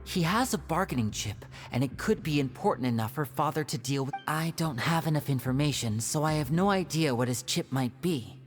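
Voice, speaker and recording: A man's voice: on the high side, animated, brisk, hardly any pauses, soft background music, lightly acted. Polite, clever young sleuth played by a man. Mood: analytical. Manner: methodical, polite, articulate. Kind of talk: video game voice acting.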